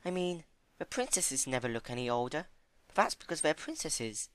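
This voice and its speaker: A gentle, timid young female pony played by a man reading a story aloud. The voice sits high and light, earnest and a little hesitant, with lively swings in pitch. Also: normal speed, clean tone, dry recording. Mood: musing, hesitant.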